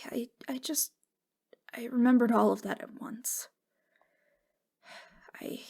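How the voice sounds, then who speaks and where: A woman playing a wistful, wounded ghost girl in an intimate ASMR roleplay, speaking in a halting, fragile, stammering way. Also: mid-range voice, vocal fry, a little mellow, heavily acted. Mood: subdued, sorrowful. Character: wistful, wounded ghost girl, long dead since wartime.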